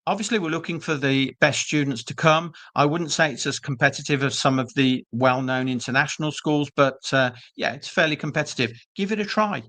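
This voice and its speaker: A man's voice: fairly high pitch, a little fast, hardly any pauses, bright, forceful tone, no echo. A British man presenting an online webinar for a business school, chatty and reassuring with frequent ums and uhs, speaking over a video call. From Britain.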